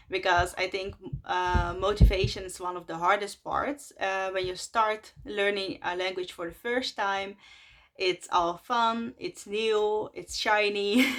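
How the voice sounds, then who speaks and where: A woman in her twenties from Russia, a native Russian speaker talking casually in English to her vlog audience, with many fillers and soft laughs between phrases. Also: on the low side. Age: 20s. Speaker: vlogger. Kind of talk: casual chat to camera.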